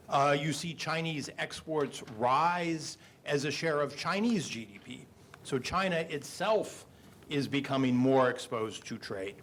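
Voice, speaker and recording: Guy w a booming voice on a panel mic, measured explainer tone, bit of faint room noise. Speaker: panel guest economist. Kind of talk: panel discussion answer. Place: indoor event stage. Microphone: handheld microphone.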